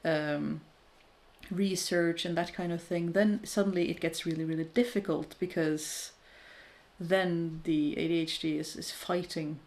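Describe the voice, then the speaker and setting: Candid woman in her thirties, low-toned. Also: fairly even intonation, a little slow, a little mellow. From Norway. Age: 30s. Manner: thoughtful, candid, self-deprecating with frequent restarts. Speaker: crafting streamer chatting with viewers. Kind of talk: casual live chat.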